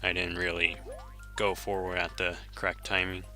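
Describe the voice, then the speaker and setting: Male game streamer, Japanese first language; deep, very bright and forceful tone, relaxed self-correcting chatter over faint game music. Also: moderate pace. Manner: easygoing, chuckles often, self-correcting. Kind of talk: casual gameplay commentary, reading chat.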